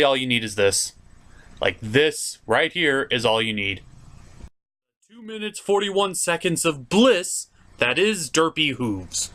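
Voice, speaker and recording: Male comedy reviewer host, sarcastic, foul-mouthed, very animated delivery. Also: on the high side. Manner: animated, sarcastic, swears freely. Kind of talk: comedic cartoon episode review.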